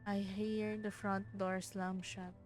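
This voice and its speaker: A woman streams a story game at an unhurried, slow pace. She reads the text aloud, tripping over words, and breaks off for exclamatory reactions. Light music plays behind her. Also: mid-range voice, level tone. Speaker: streamer reading a visual novel aloud. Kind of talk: casual live game playthrough, reading text aloud with reactions. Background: soft game music.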